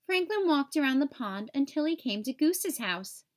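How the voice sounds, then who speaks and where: Woman, high voice, children's read-aloud narration, expressive, simple storytelling. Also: moderate pace.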